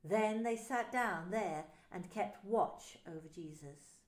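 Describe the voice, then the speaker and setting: A woman pastor narrating a scripture story in a slow, solemn reading. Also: medium pitch, echoey room.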